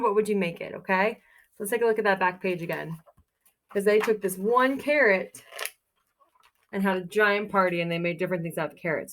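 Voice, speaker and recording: A woman doing a children's read-aloud like a teacher, reading expressively in a sing-song way and putting simple, encouraging questions to her young listeners. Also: mid-range voice.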